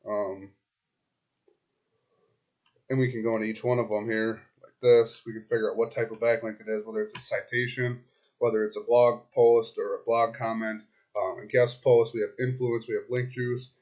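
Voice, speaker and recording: A hoarse man giving a casual screen-recorded walkthrough as a web marketing consultant. He talks straight to his client, loose and chatty, thinking aloud with lots of ums and restarts. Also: fairly low pitch, flat delivery, normal speed, darkish tone, highs cut off.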